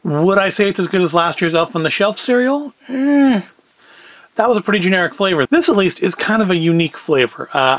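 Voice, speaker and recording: Higher-voiced wry man. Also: fairly bright tone, no echo. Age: 40s. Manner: wry, self-deprecating, rambling. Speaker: food review vlogger. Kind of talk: casual review chat to camera.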